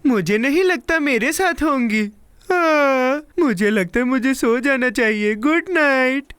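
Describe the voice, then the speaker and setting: Eager little cartoon boy, high-pitched and unhurried, childlike and dramatic, music behind. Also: clean tone. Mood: disappointed. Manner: childlike, expressive. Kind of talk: children's cartoon dub acting.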